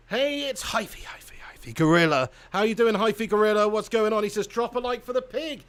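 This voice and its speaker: A man's voice: high voice, lively intonation, moderate pace, fairly bright tone. A young man hosting a live football watch-along, chatting casually with viewers and laughing often. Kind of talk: casual live commentary reading chat.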